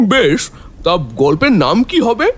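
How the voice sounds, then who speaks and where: A man's voice: high-pitched, very animated, slow pace, a bit bright, breathy edge, heavily acted. An actor playing an eager young man hosting a storytelling get-together in an audio drama. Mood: eager, playful.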